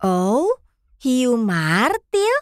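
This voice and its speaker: A woman's voice: a little high, sing-song, drawn-out words, dry recording, studio quality. A woman voicing a perky pink fox guide. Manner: bright, coaxing, talks to kids. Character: cheerful young pink fox host. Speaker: host guiding a hide-and-seek game. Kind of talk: children's cartoon narration.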